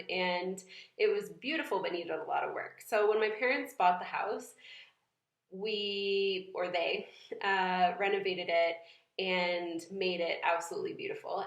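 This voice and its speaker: A young woman from New England talking thoughtfully in a casual vlog, pausing and restarting often. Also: mid-range voice. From New England, United States. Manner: thoughtful, hesitant, many fillers and restarts. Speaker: vlogger. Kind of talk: casual reflective vlog talk. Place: home room. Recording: echoey room.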